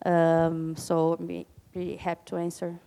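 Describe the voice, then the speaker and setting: Brazilian woman in her 30s, smooth clear voice, hesitant conference delivery with fillers. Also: lowish voice, completely flat delivery, measured pace, dark tone. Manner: hesitant, many fillers and restarts. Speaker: alumni ambassador speaker. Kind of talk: conference talk with Q&A. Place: conference room.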